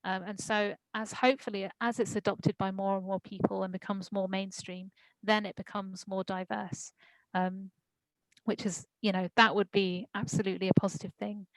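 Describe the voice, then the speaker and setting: A woman speaking at a conference, answering questions in a thoughtful, measured way with frequent pauses and ums. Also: medium pitch, flat delivery, no echo, low-quality recording. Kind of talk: Q&A discussion.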